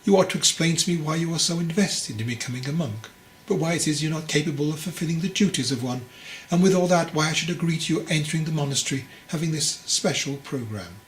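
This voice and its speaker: A man voicing a venerable old monastery master in a storybook, calm, measured and gently probing. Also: fairly high pitch, echoey room, a little hiss or hum, pitched above the performer's own voice, natural voice, low-quality recording. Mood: gently stern. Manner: measured, questioning, teasingly patient. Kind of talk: storybook character dialogue.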